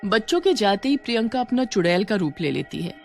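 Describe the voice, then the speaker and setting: A woman narrates a scripted horror story at a medium pitch. Faint music runs under her storytelling. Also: big pitch swings, a little fast, gravelly.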